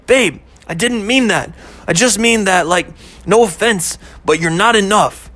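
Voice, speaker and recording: A man acting out a troubled young man in an audio story, earnest and a little hesitant. His voice sits high and bright with a tense edge. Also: unhurried, dry recording. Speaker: boyfriend in second-person story.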